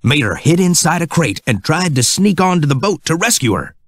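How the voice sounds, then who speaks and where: Man for children's storybook narration: scripted, highly expressive pitch, close dry sound. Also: fairly quick, no echo.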